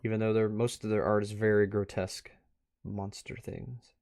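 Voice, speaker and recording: A man's voice: lowish voice, level tone, normal speed, vocal fry, a little mellow, no echo. A man giving casual, off-the-cuff commentary as a stream host, rambling and musing aloud. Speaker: host of a live art review stream.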